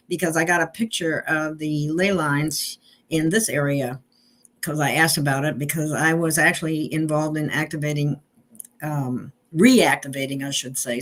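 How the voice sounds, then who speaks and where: Eager woman hosting a spiritual chat. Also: sits low. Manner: eager, hesitant with "um"s. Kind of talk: casual spiritual conversation.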